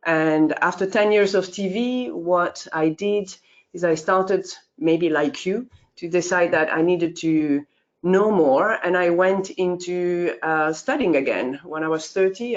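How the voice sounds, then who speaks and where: A woman in her fifties, a course leader, introducing a course informally, with many fillers and sudden leaps in pitch. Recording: dull lo-fi audio.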